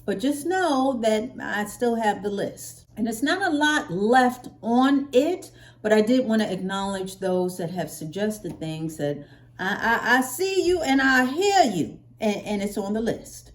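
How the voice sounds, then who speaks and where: Expressive American woman, higher-pitched voice. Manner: playful, expressive, chatty with drawn-out emphasis. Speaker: hair care vlogger. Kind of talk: casual chat to viewers.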